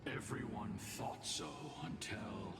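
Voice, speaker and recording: A man voicing a grave, weary warrior of a bygone age, with measured, breathy delivery in a fantasy game. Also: noisy background, natural voice. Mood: grave, reflective. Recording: distant, echoing hall with game music.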